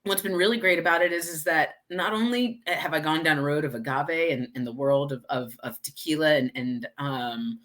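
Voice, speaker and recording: A woman from Texas with an American accent, chatting casually as an interview guest in a chatty way, quick to laugh. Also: sits low, a bit bright. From Houston, Texas, United States. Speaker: guest, tequila brand ambassador.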